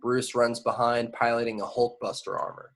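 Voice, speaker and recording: A man's voice: mid-range voice, little pitch movement, normal speed, a bit bright. A man reading screenplay action lines aloud as narrator in an amateur table read. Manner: stumbles on invented place names.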